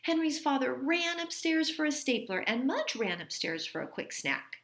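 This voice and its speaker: A woman reading a children's storybook aloud in an expressive manner, like a teacher. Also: high-pitched, moderate pace, echoey room, a little hiss or hum.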